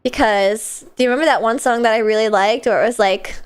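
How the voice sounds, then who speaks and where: A woman's voice: fairly high pitch, fairly quick, clean tone. A young woman streaming a game while sick, chatting in a playful, giggly, offhand way. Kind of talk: casual gameplay chat.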